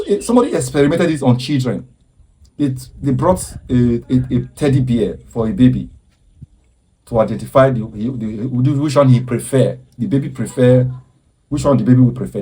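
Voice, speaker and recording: Man, dark mellow voice, husky, earnest preaching commentary, repeats himself. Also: medium pitch, low-quality recording. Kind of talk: impassioned social commentary.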